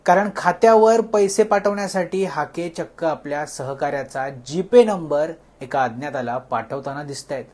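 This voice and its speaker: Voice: medium pitch, normal speed, hardly any pauses, darkish tone. A news presenter reading a scripted report and addressing the audience.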